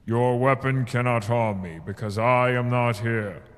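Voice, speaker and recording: A man playing a solemn, noble alien giant of light in an audio drama, speaking gravely and formally. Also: mid-range voice, unhurried, a bit bright, in a large hall, a little hiss or hum, close to an everyday voice. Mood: solemn, calm.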